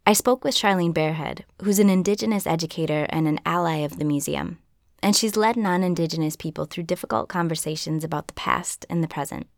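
A woman from Minnesota hosting a podcast, narrating a script in an earnest, measured way. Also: low voice, creaky, no echo, studio quality. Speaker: podcast host.

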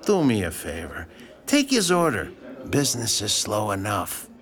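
An actor playing a blunt, prodding diner boss in an audio drama, with a gruff manner. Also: male voice, on the low side, huge pitch swings, moderate pace, fairly bright tone. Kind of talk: naturalistic audio drama dialogue.

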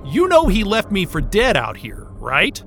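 A man's voice: high-pitched, wildly swooping pitch, a little slow, fairly bright tone, faint music behind, full character voice. An actor playing a frustrated, sarcastic man trapped at a remote station, sardonic and exasperated, in a naturalistic audio drama. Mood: agitated.

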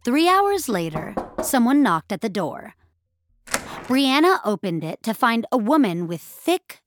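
A woman narrating scripted audio fiction in a measured, expressive storytelling style. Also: mid-range voice, no echo, studio quality.

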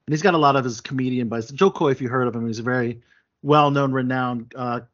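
A man's voice: medium pitch, fast talker, a bit bright. A man hosting a casual chat show, talking at length with many fillers and restarts. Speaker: podcast host. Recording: dull lo-fi sound.

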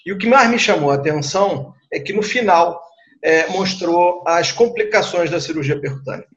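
Slightly high-voiced Rio man, muffled audio. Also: normal speed, a bit bright. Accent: Rio de Janeiro Brazilian Portuguese. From Rio de Janeiro, Brazil. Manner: conversational, repeats words and adds tag questions. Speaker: guest surgeon. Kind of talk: explanatory recounting in an interview.

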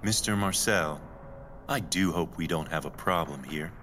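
A deep-voiced, imperious rich man, heard in a long echoing hall with faint noise. He is formal, clipped and condescending, with cold menace in an audio drama style. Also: moderate pace, a bit bright. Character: imperious, coldly menacing wealthy male client. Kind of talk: audio fiction acting.

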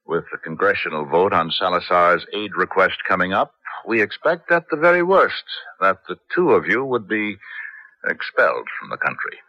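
An actor playing a shrewd, determined American man planning a risky rescue, measured and earnest, in a 1970s radio drama. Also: low voice, big pitch swings, sharp tone, heavily acted. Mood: briefing. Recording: dull vintage radio broadcast.

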